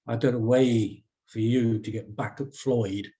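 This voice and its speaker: Man reading a slow, coaxing young woman. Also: mid-range voice, steady tone, warm tone, lightly acted. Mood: pleading. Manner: slangy, coaxing. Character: pleading, streetwise young woman coaxing her friend. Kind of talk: screenplay table read.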